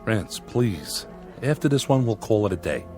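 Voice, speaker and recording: An actor playing a harried television director who gives brisk, impatient instructions. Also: male voice, fairly low pitch, very animated, a little mellow. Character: harried male TV show director. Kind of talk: radio drama acting. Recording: radio drama with music behind.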